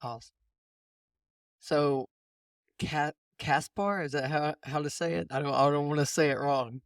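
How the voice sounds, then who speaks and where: A man's voice: a little high, level tone, normal speed, gravelly, no echo, studio quality. A man hosting a casual coaching conversation, explaining things informally and often rephrasing as he goes. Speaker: podcast host and mindset coach.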